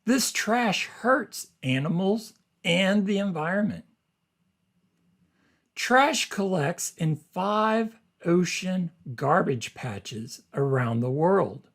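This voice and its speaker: A man reads aloud as a teacher would for a class. His pace is very slow and deliberate, with simple phrasing and short explanations. His voice sits at a fairly high pitch for a man. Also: very animated, fairly bright tone.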